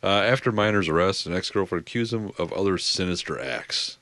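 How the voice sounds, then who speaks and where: Male podcast co-host, low, bright, animated, crude banter. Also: a little fast, no echo. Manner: crude joking, frequent laughter. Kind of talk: casual comedic chat.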